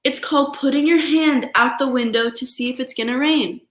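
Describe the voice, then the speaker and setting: A woman's voice: sits high, moderate pace, a bit bright, reverberant, pitched above the performer's own voice. A woman voicing a firm, matter-of-fact mother bear for a storybook. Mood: calmly instructing.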